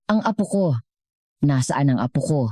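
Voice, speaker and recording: A woman's voice: sits low, animated, moderate pace, dark tone, no room sound, studio quality. A woman voicing a wealthy, courteous, caring elderly grandfather in a story. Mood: distraught.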